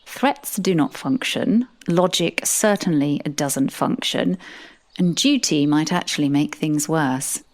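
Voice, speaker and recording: A woman playing a composed, wry supernatural narrator, telling a fantasy tale in a measured, deadpan manner. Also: sits low. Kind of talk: scripted first-person fantasy narration.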